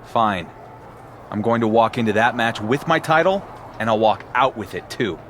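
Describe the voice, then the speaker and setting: A man's voice: medium pitch, normal speed, fairly bright tone, a little hiss or hum, lightly acted. A man playing a proud, defiant champion wrestler in a video game, blunt and confrontational. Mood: cold resolve. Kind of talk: acted video game cutscene dialogue.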